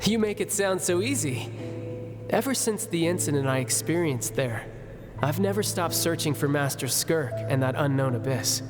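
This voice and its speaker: A man's voice: a little high, expressive, moderate pace, close to an everyday voice. Echoing, weary young warrior, acted by a man. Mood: weary, wistful. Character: weary young warrior searching for a lost master. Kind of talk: scripted video game acting. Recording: long echo, music behind.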